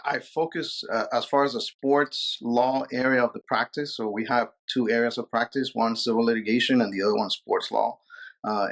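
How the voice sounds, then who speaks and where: A man from Peru, a sports lawyer, answering interview questions casually with many fillers and repeated words. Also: mid-range voice.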